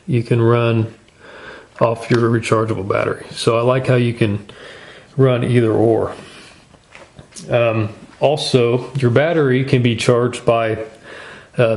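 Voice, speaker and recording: A man's voice: mid-range voice, a little mellow. A man hosting a casual product review in an informal, folksy, plain-spoken way. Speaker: gear review channel host.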